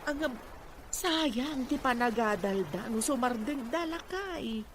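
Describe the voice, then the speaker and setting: Animated, worried farm mother. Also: a little high, slow, noisy background. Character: worried mother, farmer's wife. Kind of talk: radio drama acting.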